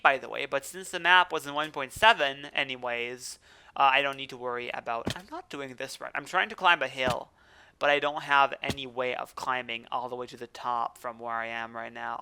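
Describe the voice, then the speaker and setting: A man's voice: mid-range voice, sharp tone, smooth. A man with a French accent, a native French speaker, hosting a casual gaming podcast and rambling with many restarts as he plays. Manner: rambling, self-correcting, chatty. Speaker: let's play host.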